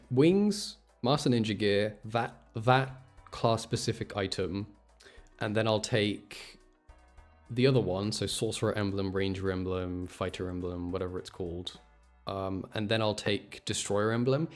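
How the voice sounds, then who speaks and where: A man's voice: on the low side, darkish tone. A young man doing a casual gaming livestream, rambling and thinking aloud as he goes. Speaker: game streamer. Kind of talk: casual gaming stream chat.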